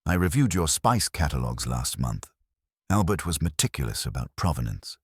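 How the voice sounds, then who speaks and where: A man's voice: rumbling low, big pitch swings, moderate pace, slightly rough, no room sound, studio quality, heavily acted. A man voicing a composed male spice salesman in a mystery audiobook, with a neat, salesmanlike delivery.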